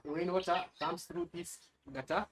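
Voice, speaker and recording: A young man with a Kenyan accent explains things on camera in a high-pitched voice. He speaks haltingly, repeating words as he demonstrates. The sound is picked up from a distance outdoors, with some background noise. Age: young adult. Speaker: fish pond and crop farmer. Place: outdoors on a farm beside ponds.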